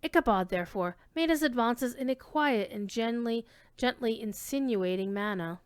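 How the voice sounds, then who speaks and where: Clear, higher-pitched woman reading a story aloud. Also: moderate pace, no echo. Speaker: reader giving a dramatic reading of a classic tale. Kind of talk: dramatic literary narration, reading aloud.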